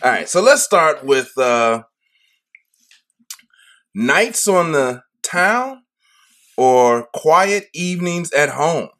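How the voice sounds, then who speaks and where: A man's voice: a little high, very animated, drawn-out words, long pauses, bright, forceful tone. Upbeat man hosting a podcast. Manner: upbeat, hype-man energy, repeats phrases. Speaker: podcast host. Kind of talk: casual interview chat.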